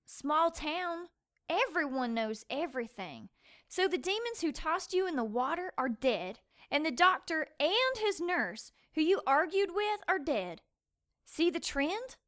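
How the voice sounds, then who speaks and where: A woman's voice: high-pitched, lively intonation, a little slow, a bit bright. A woman playing a suspicious, frightened young small-town woman in an audio drama, speaking in an accusatory, confrontational way. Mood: accusing, nervous.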